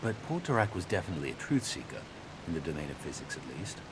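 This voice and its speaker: A man acting as an earnest, measured debater in a game audio log, speaking deliberately and articulately. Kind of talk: naturalistic game audio log dialogue and reading. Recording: steady rumbling background noise.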